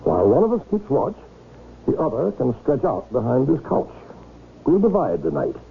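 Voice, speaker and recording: A jittery secretive gentleman, formal, pitch all over the place, bit gravelly, old radio sound. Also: huge pitch swings. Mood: secretive, commanding. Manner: halting, formal, emphatic. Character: nervous, secretive gentleman with a scheming resolve. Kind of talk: old-time radio drama acting. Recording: lo-fi radio broadcast, faint noise.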